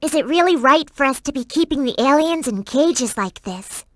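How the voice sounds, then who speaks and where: High, perky girl game sidekick. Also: animated, normal speed, no room sound, clean studio sound. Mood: helpful, curious. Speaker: in-game hint-giving companion. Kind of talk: video game voice acting.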